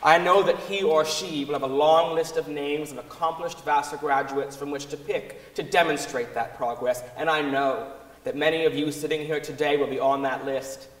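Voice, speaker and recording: A man's voice: on the high side, steady tone, moderate pace. A man reading a scripted speech dramatically on the stage of a large auditorium. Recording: echoey hall, distant microphone.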